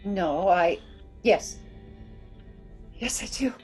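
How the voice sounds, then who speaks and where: An actress playing a fragile, frightened young woman, halting and distraught, in old-time radio melodrama. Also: mid-range voice, animated, a little mellow, husky, soft background music, exaggerated voice. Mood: uneasy.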